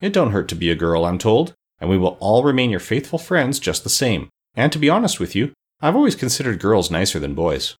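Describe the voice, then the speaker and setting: A man voicing a kindly, tender-hearted man made of tin in a storybook, gentle and earnest. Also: lowish voice, big pitch swings, a little fast, few pauses, husky. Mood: soothing. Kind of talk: storybook character voice.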